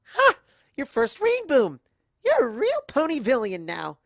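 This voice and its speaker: An excitable young cartoon pony girl, as a storyteller puts her on. The voice jumps very high and swings all over, exuberant and full of exclamations. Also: unhurried, no echo, clean studio sound, full character voice. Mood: boisterous.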